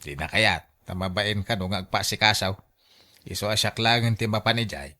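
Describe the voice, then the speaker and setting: A man's voice: fairly low pitch, normal speed, sharp tone. A man acting a scheming grown son in a family radio drama, coaxing and insistent in his delivery.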